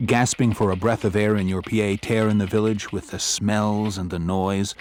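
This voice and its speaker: A man's voice: lowish voice, lightly acted. An actor playing an older male law firm partner who is jovial and teasing, in an audio drama. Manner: avuncular, wry and lively.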